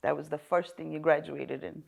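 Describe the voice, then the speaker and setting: A woman with a low, gravelly voice hosts an interview show in a television studio. She talks in polite, formal host phrasing as she welcomes and questions her guest. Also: steady tone, moderate pace, poor audio.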